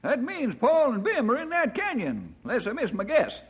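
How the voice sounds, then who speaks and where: An actor playing a brisk, capable forest ranger in an old radio drama, speaking in a commanding way. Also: male voice, very high voice, huge pitch swings, exaggerated voice. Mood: steady. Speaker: ranger hero. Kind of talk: 1950s radio drama acting. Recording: noisy old radio recording.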